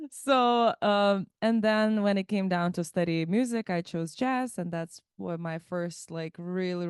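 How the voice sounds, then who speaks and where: A woman's voice: fairly low pitch, darkish tone, clean tone, no echo, clean studio sound. A woman in her thirties from Lithuania, a musician guest chatting about her life, thoughtful and given to restarting words mid-phrase. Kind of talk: casual interview chat.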